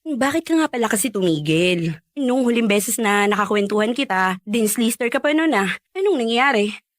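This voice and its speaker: A woman's voice: a little high, animated, normal speed, no room sound, close to an everyday voice. A young woman playing a nosy, frank, well-meaning friend in chatty radio drama dialogue, probing and giving blunt advice. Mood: curious.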